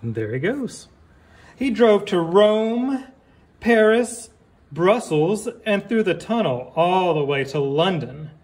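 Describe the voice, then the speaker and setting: A man reading a picture book aloud like a teacher, deliberately, with casual asides and questions for young listeners. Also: sits high, very animated, a little mellow.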